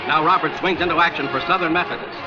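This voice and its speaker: A man's voice: on the high side, fairly even intonation, quick pace, lo-fi sound. A man narrating football in a dramatic, punchy 1940s newsreel style, on a vintage film soundtrack. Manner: dramatic, punchy, declamatory delivery. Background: loud music.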